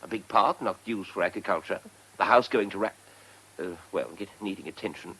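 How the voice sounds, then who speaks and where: An actor with an English accent playing a deferential civil servant in a British radio drama, formal, hesitant and apologetic. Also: male voice, lowish voice, fairly quick, sharp tone, slightly rough, heavily acted, poor audio.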